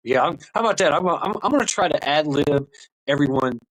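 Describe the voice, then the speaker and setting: A man's voice: a little high, expressive, brisk, a bit bright, no room sound, rough recording. A man hosting a casual livestream, rambling in a tipsy way, full of laughs and fillers. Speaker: livestream host.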